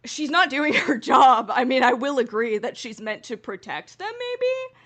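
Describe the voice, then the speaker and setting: A woman's voice: high-pitched, very animated, measured pace, fairly bright tone. A woman co-hosting a podcast in casual fan discussion, speaking in an earnest, enthusiastic way. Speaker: podcast host.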